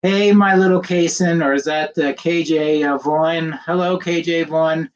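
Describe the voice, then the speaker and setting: A man's voice: a little high, steady tone, measured pace, lo-fi sound. An older man, a doctor, slightly nasal from a cold, giving a chatty livestream lecture, rambling with fillers and greeting viewers by name.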